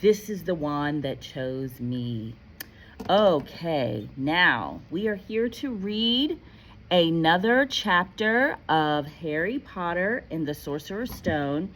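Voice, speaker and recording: A woman teacher reading aloud to pupils, expressive and addressing her listeners directly, moving between storytelling and casual classroom chat. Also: sits low, slow pace. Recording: faint background noise.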